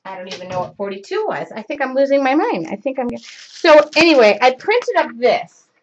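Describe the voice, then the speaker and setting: A woman's voice: high-pitched, sing-song, fairly quick, slight background noise. A woman in her forties hosting a live craft demo from her home studio, rambling and self-interrupting as she works. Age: 40s. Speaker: craft show host. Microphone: webcam in front of her. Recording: dull lo-fi webcam stream audio.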